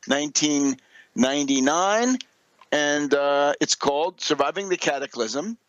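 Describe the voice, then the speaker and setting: Higher-voiced older American man, lecturing. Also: lively intonation, a little slow, fairly bright tone, no room sound, lo-fi sound. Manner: emphatic, didactic, often tags points with "right?". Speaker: political commentator and radio host. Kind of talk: unscripted political radio commentary, lecturing through numbered points.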